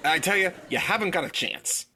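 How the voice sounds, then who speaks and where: A man's voice: high-pitched, sing-song, fairly quick, a loud crowd, very noisy. A man playing an exasperated husband and straight man in a 1940s-style radio comedy, incredulous and dryly protesting.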